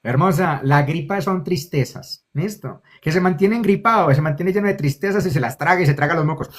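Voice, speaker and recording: A man's voice: a little high, a little fast. A man with a Colombian accent, a spiritual guide explaining ideas in conversation and often checking in with "ok?" and calling the listener lovely. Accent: Colombian Spanish. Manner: affectionate, keeps checking in with "ok?". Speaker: spiritual guide, interview guest.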